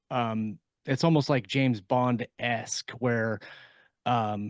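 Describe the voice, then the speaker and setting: A man co-hosting a podcast in a casual panel discussion, speaking haltingly as he thinks aloud. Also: mid-range voice, no room sound, clean studio sound. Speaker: podcast co-host and fiction writer.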